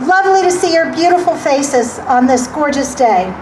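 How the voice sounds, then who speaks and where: A woman, a playwright, giving an animated public speech outdoors at a march, with a loud, carrying voice. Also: high voice, normal speed. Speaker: playwright and director speaking at a rally. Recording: distant mic with loud outdoor noise.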